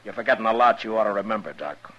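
An actor playing a stern, commanding frontier marshal with firm, plain-spoken authority in a 1950s radio western. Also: male voice, fairly low pitch, expressive, fast. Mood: stern, angry. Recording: muffled vintage radio drama recording.

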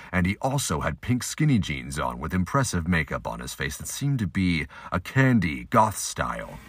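A man narrating a scripted amateur audio drama with a husky voice. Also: a bass voice, fairly bright tone, no echo.

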